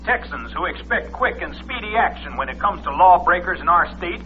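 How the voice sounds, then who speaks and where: A man acting a brisk, self-important radio newscaster in an old radio drama, punchy and declamatory. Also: high-pitched, lively intonation, sharp tone. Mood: brisk, urgent. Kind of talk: old-time radio news announcing. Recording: muffled vintage radio recording with music.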